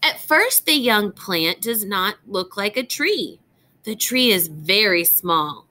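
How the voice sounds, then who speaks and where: Bright, lively woman reading aloud to children. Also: mid-range voice, slow pace. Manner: expressive, clearly enunciated, instructive, addressing young children. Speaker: teacher reading a picture book aloud. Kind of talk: children's read-aloud lesson with explanations and questions.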